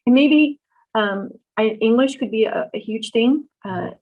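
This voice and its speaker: A middle-aged woman born in Vietnam, answering questions in an oral history interview, pausing often with ums and restarts. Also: on the high side. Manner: thoughtful, many ums and restarts. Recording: dull lo-fi recording.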